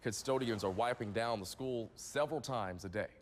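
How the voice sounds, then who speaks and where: A man's voice: on the low side, moderate pace, long reverb tail, slight background noise. A man with an American accent reading scripted morning television news as the anchor. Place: TV news studio.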